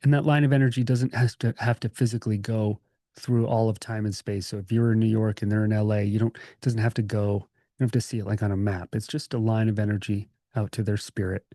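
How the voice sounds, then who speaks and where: A man leading a guided meditation as a teacher, speaking in a gentle, calming, informal way with pauses. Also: on the low side, no room sound, clean studio sound. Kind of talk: guided meditation, conversational and unscripted.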